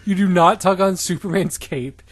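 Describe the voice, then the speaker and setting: A man's voice: high-pitched, moderate pace, over a noisy crowd. A man co-hosting a comedy podcast, reading fan fiction aloud with incredulous reactions, swearing and frequent laughter.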